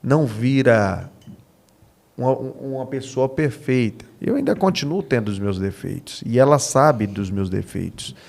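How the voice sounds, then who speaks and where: A man's voice: medium pitch, expressive, a little slow, vocal fry, a little mellow. A man with a Brazilian accent, a pastor and podcast guest, speaking conversationally in short phrases with frequent check-in questions. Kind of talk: conversational testimony.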